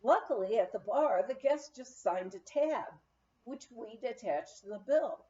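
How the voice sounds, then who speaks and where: A woman reading a memoir aloud as an audiobook narrator, telling it in an expressive storytelling manner. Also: fairly high pitch, measured pace, muffled recording. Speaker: audiobook reader.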